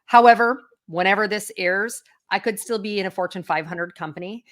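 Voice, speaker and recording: A woman's voice: mid-range voice, fairly quick. A middle-aged woman executive speaking as an interview guest, candid and self-assured, with frequent fillers. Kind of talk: conversational interview.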